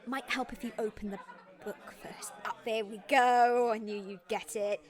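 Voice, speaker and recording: An actress playing a jaded care home party entertainer in an audio drama, coaxing and sardonic. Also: female voice, fairly high pitch, steady tone, normal speed, natural voice. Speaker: actor. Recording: music and crowd behind.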